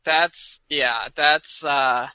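Bright-voiced, blunt young man. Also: on the high side, extremely slow, clear, resonant tone, no room sound. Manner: opinionated, blunt, with many fillers. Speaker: podcast co-host. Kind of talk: casual chat.

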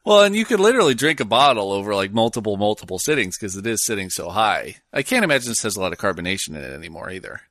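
Lively, curious young male host. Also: fairly low pitch, racing, hardly any pauses, no echo, studio quality. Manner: curious, questioning, reads facts aloud. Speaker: podcast host. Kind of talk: casual chat.

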